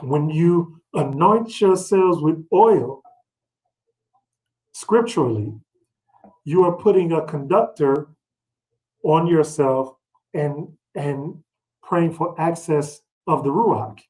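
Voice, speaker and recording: A man's voice: fairly high pitch, takes it slowly, pauses often, darkish tone, poor audio. A middle-aged man teaching scripture in a conversational, colloquial way, checking in with his listeners through rhetorical questions. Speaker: Bible teacher leading a read-along. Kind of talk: informal, conversational scripture teaching with personal anecdotes.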